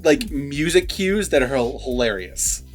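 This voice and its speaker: A man's voice: medium pitch, lively intonation, measured pace, soft background music. A man giving his opinions in a casual, conversational, unscripted review chat. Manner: conversational, opinionated, says "like" often. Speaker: film review vlogger.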